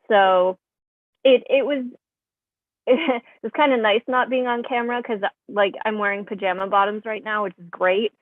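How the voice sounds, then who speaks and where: A woman's voice: mid-range voice, dry recording. Woman on a thin, phone-like call. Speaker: actor in a staged reading. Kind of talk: casual post-show Q&A. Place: at home on a video call. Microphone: video call.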